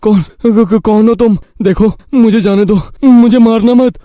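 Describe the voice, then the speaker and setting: An earnest young village father, acted by a man, with a mellow, slightly high voice. He is polite and pleading, quick to fear, and speaks dramatically in a dry storybook recording. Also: steady tone, dry recording. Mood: terrified.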